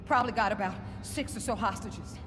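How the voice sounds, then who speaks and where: An actress playing a helpful building employee in a video game, earnest and informative. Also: female voice, mid-range voice, a little fast, natural voice. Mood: anxious, helpful. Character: helpful female building employee reporting to police. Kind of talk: video game cutscene acting. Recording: reverberant hall, music behind.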